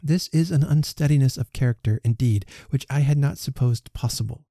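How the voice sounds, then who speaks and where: A man reading the part of a proud young gentlewoman who firmly rejects a suitor, speaking crisply and emphatically. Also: medium pitch, brisk, dry recording, clean studio sound, close to an everyday voice. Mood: cold reproach. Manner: crisp, emphatic, rising protest.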